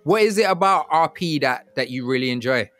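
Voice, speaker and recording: A man's voice: fairly high pitch, expressive, brisk, a bit bright, no room sound. A man hosts a casual interview in slangy, encouraging talk. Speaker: podcast host. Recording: music playing behind the talk.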